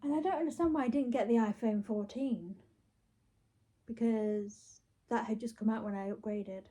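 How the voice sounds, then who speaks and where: British female vlogger, fairly high and mellow voice, rambling casual self-talk, playful delivery. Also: moderate pace, slight background noise. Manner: chatty, playful, talks and mutters to self. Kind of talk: casual rambling chat to camera while wrapping gifts. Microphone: phone.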